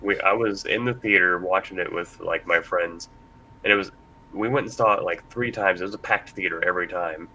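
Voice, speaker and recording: A man's voice: a little fast, bright, forceful tone, rough recording. A man in his thirties sharing memories in a casual chat as a panelist. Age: 30s. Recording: faint low rumble behind voice.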